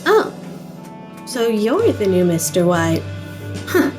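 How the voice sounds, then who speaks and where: A cool, calculating lady bureaucrat, acted by a woman in an audio drama. She speaks at a medium pitch, composed and knowing, over background music. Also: big pitch swings, slow. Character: Missus Red, coolly calculating female government official.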